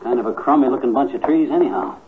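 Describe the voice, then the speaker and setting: A man's voice: mid-range voice, fast, noisy background, natural voice. A man playing a cocksure, stubborn bargain-hunting husband with a folksy, blustering manner in 1950s radio comedy. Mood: blustery, determined. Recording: muffled, tinny old radio recording.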